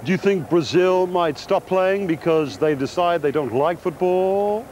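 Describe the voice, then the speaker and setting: A deadpan man putting absurd questions to people on the street, with a fairly high voice. He is recorded outdoors on a city street. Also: unhurried, noisy background. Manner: deadpan, overuses "of course". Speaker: comic street interviewer.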